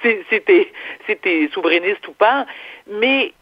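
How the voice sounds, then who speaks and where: A woman's voice: medium pitch, lively intonation, unhurried, no room sound. A woman with a Quebec French accent speaking assertively as a radio columnist, chatting casually and repeating phrases for emphasis. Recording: dull, lo-fi phone or remote link.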